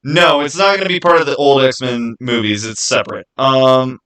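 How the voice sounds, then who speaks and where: A man with a bright, forceful voice at an average pitch hosts a casual livestream. He talks informally and with plenty of opinion, halting and circling back over his own phrases as he responds to viewers. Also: clear, resonant tone. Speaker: livestream host. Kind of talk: casual livestream chat answering viewer comments.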